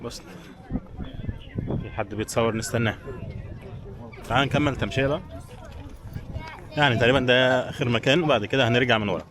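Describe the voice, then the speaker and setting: A man's voice: mid-range voice, quick pace, long pauses, noisy background. A man with an Egyptian Arabic accent chatting casually as a travel vlogger, giving viewers an informal running commentary as he walks around. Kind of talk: casual walking-tour chat. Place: walking around a tourist village and its shops. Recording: busy crowd and street bustle behind.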